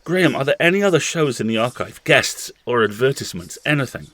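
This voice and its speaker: An actor playing a defiant, desperate captive show host, speaking in an urgent, clipped way in an audio horror drama. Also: male voice, medium pitch, sing-song, quick pace, a crowd in the background, natural voice.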